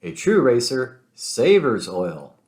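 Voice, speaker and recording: A man's voice: mid-range voice, sing-song, slow pace, a little mellow. A man voicing a boastful Italian race car in a children's storybook, with an Italian accent and a pompous manner. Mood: smug. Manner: pompous, speaks of himself in third person. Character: boastful Italian male race car, grandly self-assured.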